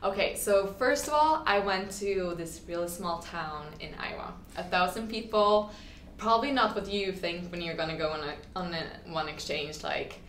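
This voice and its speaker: A woman's voice: fairly low pitch, animated, normal speed, fairly bright tone. Chatty young woman from Sweden. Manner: chatty, lots of "like" and restarts, acts out quotes. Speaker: guest. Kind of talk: casual interview chat. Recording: echoey room, faint ambient noise.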